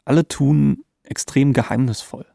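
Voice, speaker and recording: A man's voice: mid-range voice, a little slow, gravelly, warm tone, dry recording, clean studio sound. A man co-hosting an investigative podcast in a conversational narrating style. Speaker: podcast co-host.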